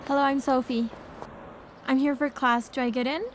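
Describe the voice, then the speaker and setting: A woman's voice: high-pitched, steady tone, moderate pace, a little hiss or hum, close to an everyday voice. A woman voicing a nervous young woman taking driving lessons. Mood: timid.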